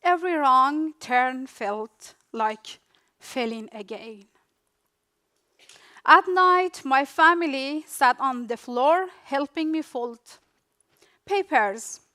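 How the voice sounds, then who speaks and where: A young Afghan woman with a Dari-speaker's accent gives a rehearsed talk about her own life. Her voice sits high, and she lets each short phrase land in its own slow, unhurried beat. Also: sharp tone. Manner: slow, deliberate delivery in short dramatic phrases with pauses. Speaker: refugee speaker. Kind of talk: rehearsed personal storytelling speech.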